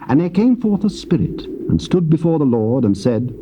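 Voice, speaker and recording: A man's voice: a little high, big pitch swings, moderate pace. A man narrating scripture as formal, dramatic storytelling. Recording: muffled, noisy old cassette.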